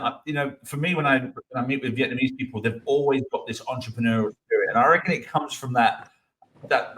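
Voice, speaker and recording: A middle-aged man with an ordinary mid-range voice hosts a casual interview chat. He talks in long, rambling stretches, enthusiastic and quick to stammer and restart his sentences. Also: medium pitch, fairly bright tone, low-quality recording. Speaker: host.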